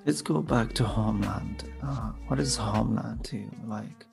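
A man's voice: on the low side, vocal fry, over music. A man asks long interview questions, hesitating and repeating words as he goes. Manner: halting, repeats words while asking.

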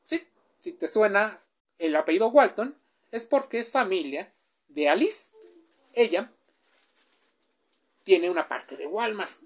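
A woman's voice: mid-range voice, lively intonation, takes it slowly, pauses often. A woman, giving a chatty explainer and often asking the listener questions. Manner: chatty, asks the listener questions, with filler sounds. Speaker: presenter. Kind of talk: informal explainer speaking straight to the listener.

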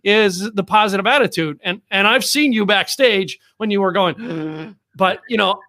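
A man's voice: sits high, moderate pace, sharp tone. A man hosting a casual interview on a video call, rambling and repeating himself with lots of fillers. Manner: rambling, repeats words, lots of "you know". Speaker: podcast host.

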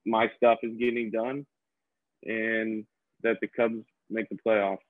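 A man's voice: on the low side, flat delivery, slow pace, no echo. Laid-back college guy over a tinny line. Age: young adult. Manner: laid-back, full of "you know". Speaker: podcast co-host. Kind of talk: casual chat. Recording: tinny phone-like sound.

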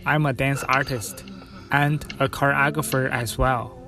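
A man from China, a dance artist, speaking gently in a measured guided narration over soft music. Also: mid-range voice, flat delivery.

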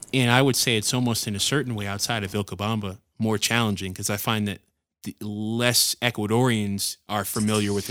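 A man's voice: lowish voice, a bit bright, clear, resonant tone, no room sound, clean studio sound. A man hosting a podcast, chatting easily, asking questions and affirming his guest. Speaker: podcast host. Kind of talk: casual interview chat. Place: Ecuador.

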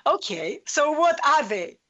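A woman hosting a live radio talk show, interviewing a guest with energetic, encouraging questions. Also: high voice, measured pace, lo-fi sound.

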